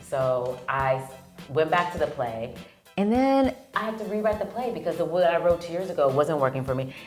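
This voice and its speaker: Very deep-voiced woman, animated storyteller. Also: moderate pace, soft background music. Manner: animated storyteller who acts out quoted lines. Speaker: actress and producer, interview guest. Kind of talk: casual interview chat.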